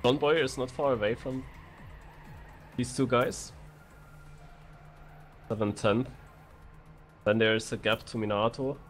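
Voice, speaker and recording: Medium-pitched man co-commentating a game race. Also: steady tone, measured pace, long pauses, soft background music. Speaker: esports co-commentator.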